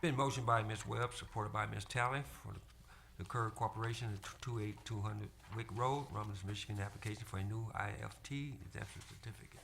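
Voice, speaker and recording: A man chairing a city council meeting, briskly calling motions and roll-call votes in a council chamber. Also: fairly low pitch, fairly even intonation, gravelly, fairly bright tone, a little hiss or hum. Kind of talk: formal meeting procedure.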